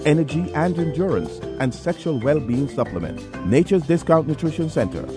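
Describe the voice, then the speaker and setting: A man's voice: a little high, lively intonation, moderate pace. Male ad announcer over prominent music. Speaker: advertising announcer. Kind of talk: scripted commercial voice-over. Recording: voice-over over loud music bed. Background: background music.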